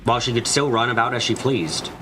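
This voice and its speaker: A man's voice: mid-range voice, fast, background noise. A man reading dramatic scripted narration of a story, as in a fan dub of a visual novel. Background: background music.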